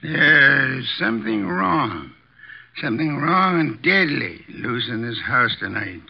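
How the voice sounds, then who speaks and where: An actor playing an imperious, precise detective mastermind with pompous, formal diction in 1950s radio drama style. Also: male voice, medium pitch, big pitch swings, slow pace, creaky, slightly rough, exaggerated voice. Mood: brisk, commanding. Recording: dull old radio broadcast recording.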